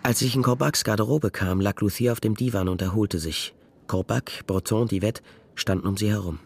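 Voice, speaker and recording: A low-voiced, terse detective narrator. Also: male voice, a little fast, creaky, husky. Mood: tense, terse. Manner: terse, matter-of-fact. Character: male private detective narrating his case, terse. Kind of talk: radio crime drama narration.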